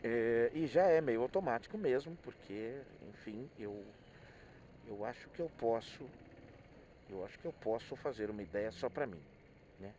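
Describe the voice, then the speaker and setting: Brazilian guy rambling casually, repeats words as he thinks, soft mellow tone, bit of road noise behind. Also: medium pitch, animated, normal speed. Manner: hesitant, repeats words while thinking aloud. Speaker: motorcyclist vlogger. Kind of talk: casual rambling monologue, personal reflections.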